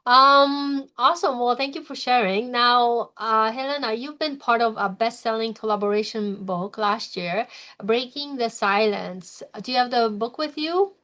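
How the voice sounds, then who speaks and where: A woman's voice: a little high, a little slow, bright, forceful tone. A woman hosting a podcast interview, friendly and encouraging, with frequent fillers. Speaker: podcast host.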